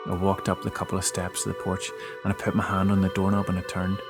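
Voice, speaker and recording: A man giving a dramatic first-person narration of a scary story, with halting, emotive delivery. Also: deep voice, completely flat delivery. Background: background music.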